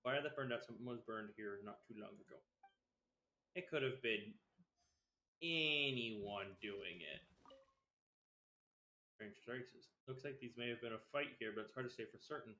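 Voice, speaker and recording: A male game streamer with a bright, forceful voice at medium pitch, recorded in a roomy, echoing space. He comments playfully and offhandedly over game ambience. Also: unhurried, pauses often. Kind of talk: live gameplay commentary, reading game text aloud. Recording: roomy, echoing stream mic, faint noise.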